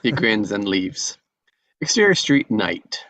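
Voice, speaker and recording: A man reading the stage directions aloud at a script table read, plain and even. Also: on the low side, takes it slowly, no room sound.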